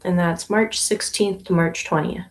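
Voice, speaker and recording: A young adult woman from Canada, a yarn shop owner, chatting casually on a livestream while she knits, relaxed and unhurried, with plenty of ums and pauses. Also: lowish voice, poor audio. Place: inside her yarn shop.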